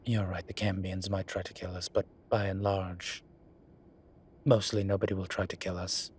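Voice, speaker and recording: Weary incubus professor played by a man, lowish voice, wry and measured, intimate audio drama, ambient noise behind. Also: flat delivery, darkish tone, lightly acted. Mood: dry, resolved. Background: ambient sound effects.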